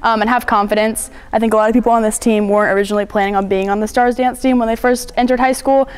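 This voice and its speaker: A teenage girl on a dance team, chatting casually in an interview with quick fillers. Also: a little high, steady tone, clean tone.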